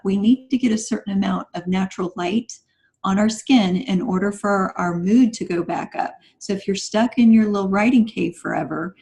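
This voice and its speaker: A woman's voice: medium pitch, warm tone. A woman speaking as a podcast guest, giving motivational advice in an emphatic, instructive and encouraging way.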